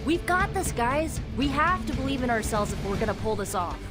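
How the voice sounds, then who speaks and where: A woman's voice: on the high side, big pitch swings, fairly quick, music in the background. A woman playing a spirited young heroine who talks informally and encouragingly in a video game cutscene. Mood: rallying. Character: spirited, plucky young heroine rallying her team.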